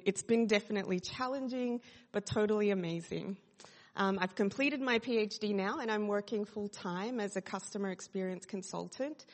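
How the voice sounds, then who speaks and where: Australian woman, chatty church testimony. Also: medium pitch. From Sydney, Australia. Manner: chatty, many fillers, laughs easily. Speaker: church speaker sharing testimony. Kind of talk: casual testimony talk to a congregation. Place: church hall. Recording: long hall reverb.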